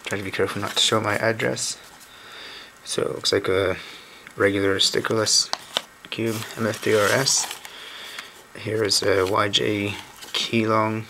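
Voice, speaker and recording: A hoarse man, recovering from a lost voice, chatting casually and thinking aloud as he hosts a home video. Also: fairly low pitch, a little slow. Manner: relaxed, thinking aloud with mild uncertainty. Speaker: cube channel host. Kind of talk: casual unboxing commentary. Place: indoors at a wooden table. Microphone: camera mic on a tabletop shot of hands. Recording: home camera audio with steady noise.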